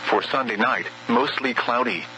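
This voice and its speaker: A synthetic man's voice reading scripted weather warning bulletins over radio in a measured, impersonal way. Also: medium pitch, pushed and bright, slight background noise, low-quality recording. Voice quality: synthetic text-to-speech voice. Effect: weather radio broadcast. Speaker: automated weather radio announcer.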